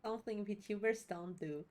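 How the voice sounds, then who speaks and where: A woman doing casual let's play commentary as a streamer, halting and offhand. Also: medium pitch, darkish tone. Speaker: VTuber streamer. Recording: game music behind. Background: video game music.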